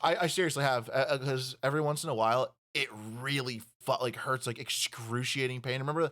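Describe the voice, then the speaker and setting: A man's voice: medium pitch, steady tone, brisk, fairly bright tone. A man co-hosting a casual podcast chat, rambling with lots of swearing and laughter. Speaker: podcast co-host.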